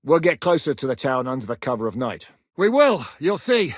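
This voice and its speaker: An actor playing a gruff, blunt one-eyed male commander who swears freely and jokes dryly in a video game. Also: mid-range voice, very animated, brisk, no echo, clean studio sound. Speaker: military commander.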